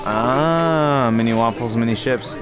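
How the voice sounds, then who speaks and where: A young man talks to a handheld camera, upbeat and chatty as he walks through a cruise ship buffet. His voice sits at an everyday middle pitch. Music plays clearly in the background. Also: measured pace, a crowd in the background. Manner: upbeat, chatty, reads signs aloud. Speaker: vlogger. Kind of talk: casual travel vlog narration. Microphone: handheld camera at arm's length. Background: background music playing.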